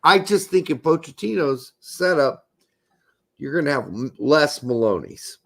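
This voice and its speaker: A man co-hosting a soccer podcast, emphatic and argumentative, hoarse from losing his voice. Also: on the high side, lively intonation, measured pace. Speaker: podcast co-host. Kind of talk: casual soccer talk.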